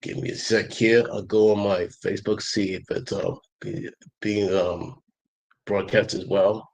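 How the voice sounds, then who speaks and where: Sick guy on a livestream, lowish voice, congested, lots of ums. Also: steady tone, a little mellow, poor audio. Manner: rambling, halting, many fillers. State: congested with a head cold. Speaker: livestream host. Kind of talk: casual livestream chat.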